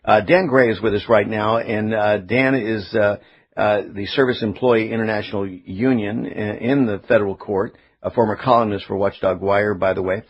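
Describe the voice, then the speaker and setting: A middle-aged man hosting live talk radio, speaking in a halting, opinionated, conversational way. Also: on the low side, fairly even intonation, husky. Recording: dull, lo-fi radio broadcast audio.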